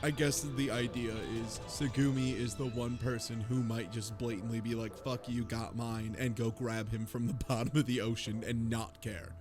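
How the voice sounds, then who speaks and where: A man's voice: medium pitch, level tone, normal speed, few pauses. A man talking casually and informally in a group discussion, swearing freely. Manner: informal, profane. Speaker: book club podcast panelist. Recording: music playing behind the voice.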